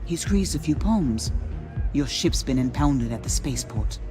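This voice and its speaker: An actor playing a male ally who gives a brisk, informative briefing. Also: medium pitch, animated, over music, lightly acted.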